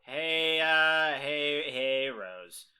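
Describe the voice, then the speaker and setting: A man with a bright, forward voice chats as a player in a tabletop game. He speaks slowly and hesitantly, choosing his words. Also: fairly high pitch, expressive, clean tone. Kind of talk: casual game chat, some in-character talk.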